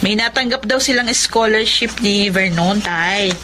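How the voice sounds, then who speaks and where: An actress in a Filipino drama playing a caring older sister. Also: female voice, mid-range voice, a little slow, background noise, lightly acted. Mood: informative. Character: caring, accepting older sister back home. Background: music.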